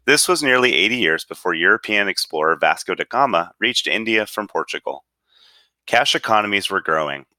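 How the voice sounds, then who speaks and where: A man's voice: on the low side, lively intonation, brisk, sharp tone, no room sound. A man reading an article aloud with careful enunciation. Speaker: reader.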